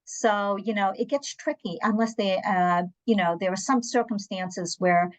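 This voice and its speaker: A woman's voice: mid-range voice, unhurried. A woman from Angola and South Africa whose first language is Portuguese, speaking candidly in a conversational panel talk and telling anecdotes with emphatic asides. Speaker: interpreter services guest.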